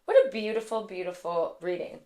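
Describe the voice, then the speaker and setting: A woman with a raspy voice giving a casual, chatty tarot reading, full of fillers and warm asides to her viewers. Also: medium pitch, fairly even intonation, a little fast. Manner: gushing, chatty, many fillers like "like" and "okay". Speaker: tarot reader and intuitive.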